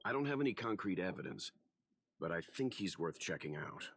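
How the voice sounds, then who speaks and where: A man voicing a reserved, serious young adventurer in an anime-style video game, deadpan and terse. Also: low voice, brisk, creaky, husky, dry recording, studio quality, full character voice. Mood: cool, serious.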